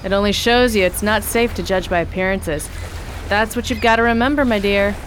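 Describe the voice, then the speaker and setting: A woman playing a worldly, tough-talking actress in a radio drama, blunt and slangy with rough grammar. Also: medium pitch, brisk, slight background noise, natural voice, low-quality recording. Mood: knowing, chatty.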